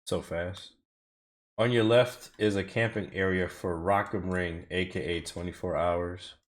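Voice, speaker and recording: A man with a slightly low voice chats loosely over his own gameplay. His talk is slangy, relaxed and playful, like a streamer talking to viewers. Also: measured pace. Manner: laid-back, slangy, joking. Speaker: streamer playing a racing game. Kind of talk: casual gameplay commentary.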